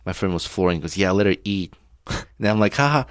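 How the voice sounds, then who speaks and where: A man's voice: deep voice, animated, fairly quick, no room sound, studio quality. A man whose first language is Armenian, co-hosting a casual chat over beers, laughing often and picking at word choices. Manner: laughs often, joking and nitpicky. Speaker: podcast co-host.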